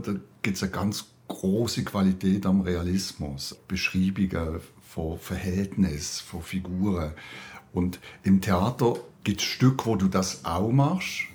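Low-voiced, thoughtful male interviewer. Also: a little mellow. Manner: tentative, thoughtful phrasing. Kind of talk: conversational interview.

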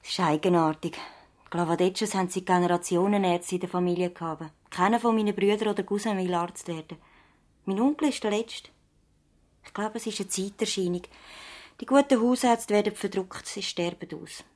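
An actress playing an earnest, thoughtful young practice assistant and loyal niece in a radio play, with a Swiss German accent. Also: female voice, mid-range voice, a little fast, a little mellow, close to an everyday voice. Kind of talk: radio play acting, conversational dialogue.

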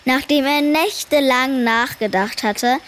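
High-voiced, dry, defiant young girl. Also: unhurried, a bit bright. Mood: knowing, determined. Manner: dry, wry, precociously wordy. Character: defiant, clever ten-year-old girl narrating her family's story. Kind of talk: German children's radio play narration and dialogue.

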